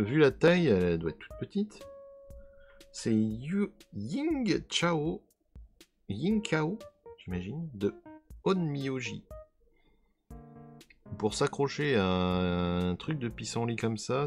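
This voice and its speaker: A hoarse, gravelly man talks through an unboxing in a loose, chatty way. His pitch jumps around a lot as he reacts, and he trails off while he searches for names. Soft guitar music plays underneath. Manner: musing, trails off hunting for names. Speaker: card unboxing video host. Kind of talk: casual unboxing commentary.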